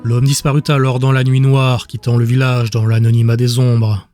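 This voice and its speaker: A man's voice: mid-range voice, little pitch movement, moderate pace, mellow, soft background music. A man reading scripted dramatic fantasy narration as an audiobook narrator.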